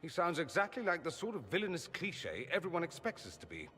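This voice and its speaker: Sardonic game mage: self-assured, theatrical, bright voice with big pitch swings, reverberant hall. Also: male voice, wildly swooping pitch, brisk, faint music behind, exaggerated voice. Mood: dry mockery. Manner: witty, theatrical. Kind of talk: dramatic video game acting.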